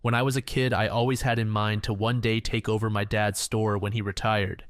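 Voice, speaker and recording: A man's voice: lowish voice, level tone, brisk, dry recording, studio quality. A man narrating online stories aloud in a plain, matter-of-fact way. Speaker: reddit story narrator.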